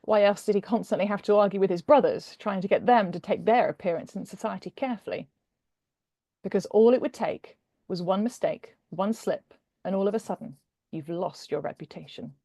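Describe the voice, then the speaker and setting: A woman's voice: mid-range voice, brisk, mellow, no echo. British woman author, dry close recording. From Britain. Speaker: guest author. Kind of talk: conversational introduction and expressive book reading.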